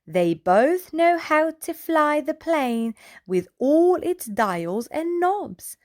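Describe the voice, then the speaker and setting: A woman with a high voice reads a children's storybook aloud. Her delivery is slow and lively, swinging widely in pitch, as she speaks playfully to small listeners. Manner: addresses small children, playful sound effects. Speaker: children's read-aloud host.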